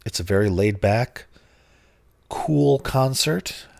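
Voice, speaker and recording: A middle-aged man hosting his own podcast in casual, grumpy, self-mocking talk, his voice hoarse and lower than usual from bronchitis. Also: expressive, slow pace, dry recording. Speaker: podcast host.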